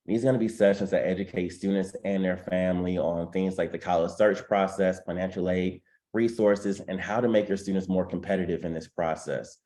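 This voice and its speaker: A man's voice: deep voice, steady tone, a little fast, warm tone. A congested man hosting a webinar on a video call, making announcements with frequent ums. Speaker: webinar host.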